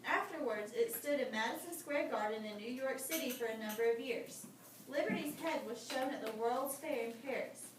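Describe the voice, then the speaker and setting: High-voiced woman teacher, echoey noisy room. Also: normal speed, distant mic. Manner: instructive, breaks off reading to explain and question. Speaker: teacher reading aloud to children. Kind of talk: read-aloud lesson with prompting questions.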